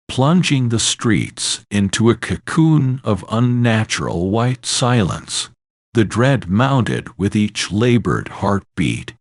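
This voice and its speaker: Slow, low-voiced man telling ominous horror tales. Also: no echo, clean studio sound. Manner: ominous, theatrical, solemn delivery. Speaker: horror podcast narrator. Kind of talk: dramatic scripted horror storytelling.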